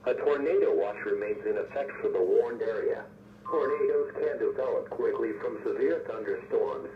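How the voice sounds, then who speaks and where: A synthetic-sounding man's voice reading formal emergency weather bulletins in a measured, impersonal way, as an automated radio announcer. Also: mid-range voice, far from the mic. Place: weather radio broadcast picked up on a receiver. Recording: muffled, noisy, echoey weather radio broadcast.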